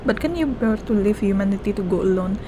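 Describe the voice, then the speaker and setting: A woman's voice: mid-range voice, expressive, quick pace, background noise. A woman with an Indonesian accent giving casual let's play commentary, repeating herself and switching between two languages. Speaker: game streamer.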